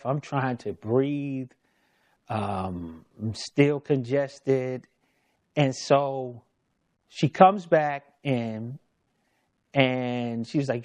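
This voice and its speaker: Male documentary narrator, unhurried personal retelling, mid-pitched, chatty with quoted dialogue. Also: a little mellow. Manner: conversational, retells with quoted dialogue, frequent repeated words. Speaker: podcast host telling his story.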